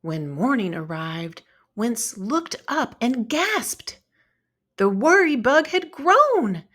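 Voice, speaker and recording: A woman reading a children's story aloud in an expressive, deliberate narrating style. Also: on the high side. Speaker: storybook reader.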